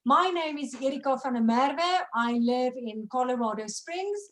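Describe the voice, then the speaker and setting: A woman with a high voice hosts a business opportunity call over video. She introduces her guests with friendly, enthusiastic energy. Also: lively intonation, normal speed, a bit bright. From South Africa. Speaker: host.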